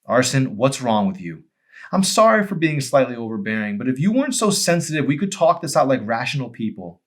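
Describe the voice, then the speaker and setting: A man's voice: mid-range voice, very animated, brisk, voice pushed up. Guy doing a hurt teen girl from next door, emphatic and pleading, audiobook. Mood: indignant.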